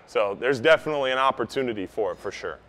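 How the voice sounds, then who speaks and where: A man's voice: mid-range voice, expressive, fast talker, fairly bright tone. A man in his thirties giving an informal, practical fishing-captain style talk to a live group in a store, speaking up emphatically, recorded from a few meters away. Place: inside a large outdoor goods store. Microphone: camera a few meters away.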